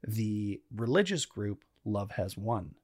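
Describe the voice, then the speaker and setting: A man's voice: fairly low pitch, very animated, slow pace, slightly rough, dry recording, clean studio sound. A young man co-hosting a true crime podcast, chatting casually in an easygoing, joking way. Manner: easygoing, joking, leads the story. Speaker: podcast co-host. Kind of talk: casual chat.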